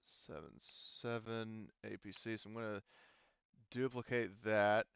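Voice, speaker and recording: A man's voice: lowish voice, steady tone, unhurried, gravelly, clean tone, dry recording. A man running a tabletop game as game master, talking casually and hesitantly as he thinks aloud, on a muffled voice-chat line.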